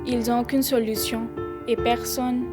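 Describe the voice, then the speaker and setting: A woman's voice: fairly high pitch, flat delivery, unhurried, over loud music. A young female non-native speaker, a student, narrating a prepared story. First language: not French. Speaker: newly arrived student. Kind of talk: scripted personal narration.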